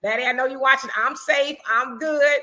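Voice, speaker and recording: A middle-aged American woman hosting a casual chat, reading viewer comments aloud in an animated way. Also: sits high, moderate pace, rough recording. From United States. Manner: animated, laughs often, reads comments aloud. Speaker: host.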